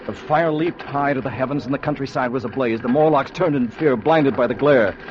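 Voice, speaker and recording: An actor playing an earnest, protective time traveller who narrates with solemn, theatrical intensity in a 1950s radio drama. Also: male voice, mid-range voice, a little fast, noisy background, lightly acted. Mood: grave, suspenseful. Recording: muffled vintage radio recording with music.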